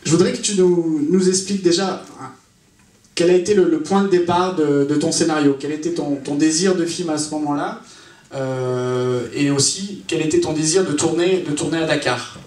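A man hosting a question-and-answer session on a cinema stage, with a halting, hesitant delivery. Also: on the high side, a little mellow. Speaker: festival presenter and interviewer. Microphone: handheld mic through PA, far camera. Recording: echoey cinema, distant camera mic, faint noise.

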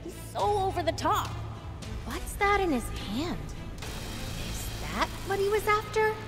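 Scrappy little demon boy, really high, cheeky and hotheaded, lively, game music behind. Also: unhurried, exaggerated voice. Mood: irritated. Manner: brash, cheeky, punchy. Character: brash, scrappy young male demon, hot-headed and cheeky.

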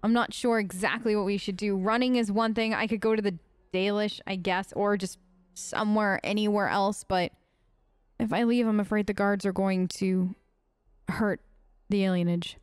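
A woman's voice: medium pitch, steady tone, normal speed, clear, resonant tone, no room sound. A woman gaming host doing casual let's play commentary, talking in character. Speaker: gaming host, role-player.

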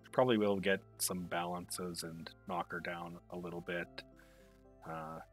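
Man, low voice, casual game developer commentary, halting delivery, faint background music. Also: level tone. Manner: halting, thinking aloud. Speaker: game developer playing own game.

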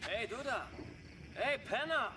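Very high, aggressive hothead. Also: male voice, lively intonation, takes it slowly, recorded from a distance, full character voice. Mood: taunting. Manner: aggressive, taunting, commanding. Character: hot-headed, violent man out for revenge. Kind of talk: German crime radio drama acting. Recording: off-mic, loud ambient noise.

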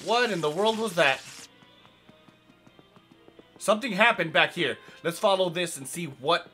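A young man with a hoarse, raspy voice chatting casually through a let's play, rambling with lots of fillers. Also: sits high, measured pace, sharp tone, soft background music. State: hoarse from yelling. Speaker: gaming channel host.

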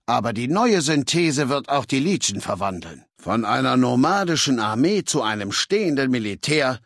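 A learned, ruthless male dictator in a video game. He lectures with articulate condescension at a middling pitch. The recording is dry, with no sense of a room. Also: sing-song, normal speed, a bit bright, no echo. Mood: coolly instructive. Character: cold, learned warlord dictator of a slaver legion.

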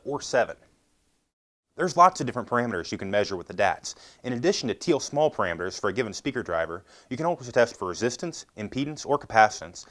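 A man's voice: mid-range voice, a bit bright. A man in his thirties giving scripted, methodical step-by-step instructions as a product demonstrator. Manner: methodical, explanatory.

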